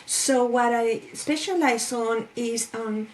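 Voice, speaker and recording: A woman's voice: on the high side, slow pace, slight background noise, poor audio. A woman in her forties from Spain with a Spanish accent, talking thoughtfully as a guest life coach over a video call, with fillers and restarts. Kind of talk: casual interview chat.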